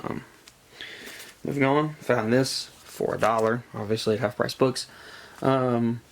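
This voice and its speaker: A man with a congested, gravelly voice from a cold, chatting casually as he shows off a collection haul in a halting way. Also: medium pitch, fairly even intonation, a little hiss or hum. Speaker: comic book collector presenting a haul. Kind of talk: casual show-and-tell vlog chat.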